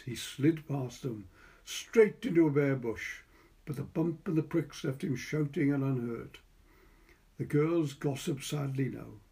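A man's voice: medium pitch, mellow. A man with a Welsh accent reading a literary short story as narrator. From Wales.

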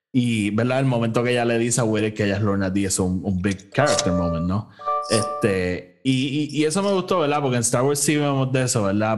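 Podcast host, male, slightly deep voice, relaxed bilingual review chat. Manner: mixes English phrases into Spanish.

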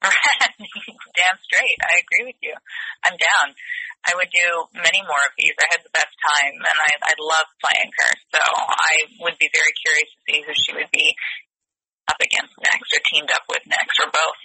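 Woman, actress guest, bubbly, laughs a lot, sharp bright tone, dry close sound. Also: low voice, steady tone, moderate pace, breathy edge, dry recording, poor audio. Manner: bubbly, laughs often, gushing. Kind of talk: press interview.

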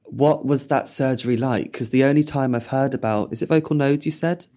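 Mellow-voiced interview host. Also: female voice, extremely low pitch, brisk. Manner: enthusiastic, asks follow-up questions. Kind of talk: casual interview.

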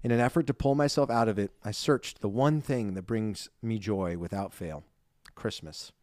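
A young man co-hosting a podcast, reading listener reviews in a lively, self-deprecating chat and laughing easily. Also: fairly low pitch, moderate pace, no echo, clean studio sound. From Ireland. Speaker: podcast co-host.

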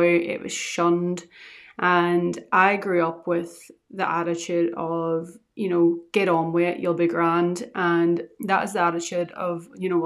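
A young woman with a Northern Irish accent that has picked up some Scottish tones talks into a podcast microphone. Her voice sits a little low for a woman. She chats in a rambling, earnest way as a solo podcast host. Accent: Northern Irish, softened by Scottish. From Northern Ireland.

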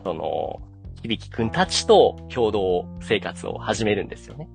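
A man talks casually as a podcast host, explaining things with highly animated, swooping pitch. His phrasing is hesitant and full of fillers, and faint guitar music plays behind him. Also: unhurried, gravelly. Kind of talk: casual explanatory anime chat. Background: background music with guitar.

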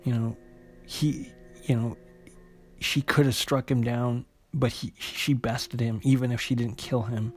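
A man narrating an unscripted recap of a story as a host, in a halting way, often repeating and restarting his phrases. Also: mid-range voice, flat delivery, faint music behind. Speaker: host retelling a comic book story.

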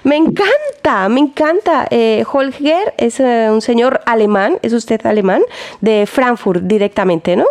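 A woman hosting a radio interview in a studio, lively and quick to laugh. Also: fairly high pitch. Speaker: radio host.

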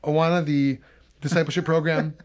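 Higher-voiced man in his thirties, teasing. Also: little pitch movement, fairly quick. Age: 30s. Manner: teasing, bantering. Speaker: podcast host. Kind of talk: casual chat.

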